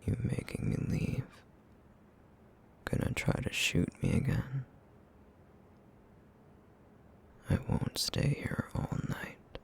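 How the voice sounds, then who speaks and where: Brooding male essence eater for ASMR roleplay, played by a man: low, gravelly, terse, bitter, menacing. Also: exaggerated voice. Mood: brooding, guarded menace. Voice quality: breathy, gravelly near-whisper. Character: brooding, guilt-ridden male essence eater, a vampire-like monster. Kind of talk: ASMR roleplay audio drama acting.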